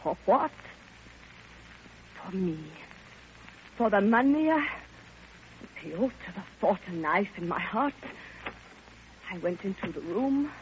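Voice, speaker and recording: An actress playing a grieving, lovelorn older woman in a classic radio drama, speaking in a halting, theatrical and impassioned way. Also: medium pitch, expressive, a little hiss or hum. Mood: anguished confession. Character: grieving, lovelorn old woman pouring out her heart. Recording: muffled vintage radio recording with music.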